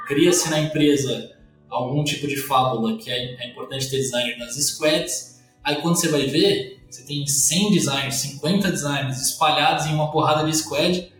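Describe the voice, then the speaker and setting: A man with a Brazilian Portuguese accent, a podcast guest explaining in a relaxed, slangy way with many fillers and restarts. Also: fairly high pitch. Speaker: podcast guest, company CEO. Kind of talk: casual explanatory chat. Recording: very echoey room, faint music.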